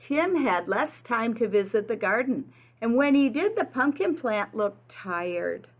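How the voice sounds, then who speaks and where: A woman's voice: a little high, sing-song, a little slow, phone-quality sound. A woman reading a picture book aloud like an early-childhood teacher, talking simply and instructively to young listeners and asking them questions.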